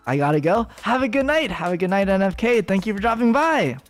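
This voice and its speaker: A man's voice: sits high, lively intonation, fairly quick, soft background music. Giggly young guy rambling on stream. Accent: American. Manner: rambling, giggly, lots of fillers and restarts. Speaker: VTuber streamer. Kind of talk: casual livestream chat with viewers.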